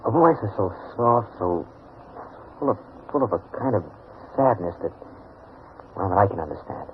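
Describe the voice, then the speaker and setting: An actor playing an earnest, dreamy blind young grandson in a 1940s radio drama, speaking in a breathless, halting way. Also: male voice, on the low side, animated, lightly acted. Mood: dreamy, eager. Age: young adult. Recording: narrow, noisy old radio broadcast.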